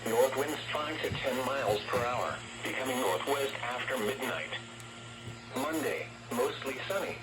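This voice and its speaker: A man's voice reading a scripted weather bulletin in a formal, measured way, heard through a radio speaker. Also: mid-range voice, very animated, loud music behind the voice. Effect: played through a radio speaker. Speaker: weather radio forecast announcer. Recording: very noisy clicking weather radio playback.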